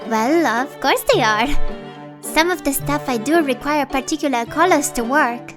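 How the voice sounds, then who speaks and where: A woman playing a gentle, modest young female healer, polite and slightly formal, in a fan audio drama. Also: high-pitched, normal speed, over music, lightly acted. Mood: calm, caring.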